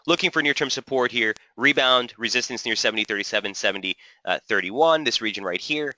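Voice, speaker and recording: A man hosting a live trading webinar, slightly hoarse, walking through charts and answering viewers' typed questions. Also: medium pitch, brisk, sharp tone, dry recording, lo-fi sound. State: slightly hoarse, losing his voice. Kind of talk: live market analysis webinar.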